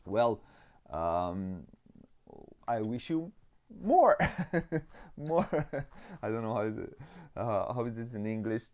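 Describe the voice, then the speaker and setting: A man hosting a board game interview show, cheerful and hesitant, pausing often on fillers. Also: fairly low pitch, very animated, a little mellow. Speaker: podcast host. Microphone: video call.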